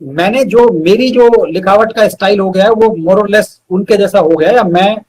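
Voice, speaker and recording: A man's voice: high-pitched, normal speed, a little mellow, low-quality recording. A man, a poet being interviewed, speaking earnestly and reciting with emphatic repetition. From India.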